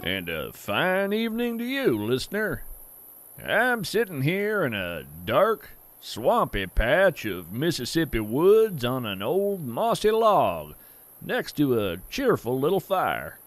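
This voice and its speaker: A man performs a folksy naturalist for an audio fiction podcast. His voice sits a little high for a man and rises and falls freely. He savors each phrase slowly, musing with poetic awe like a fireside storyteller. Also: huge pitch swings, exaggerated voice. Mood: warm wonder. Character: folksy, awestruck male naturalist storyteller by a campfire. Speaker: podcast host. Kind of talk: scripted audio fiction monologue.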